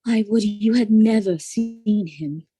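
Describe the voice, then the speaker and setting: A woman playing a loyal, plain-spoken waiting woman in a Shakespearean audio drama, steady, earnest and deferential. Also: medium pitch, slow pace, faint music behind, close to an everyday voice. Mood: concerned.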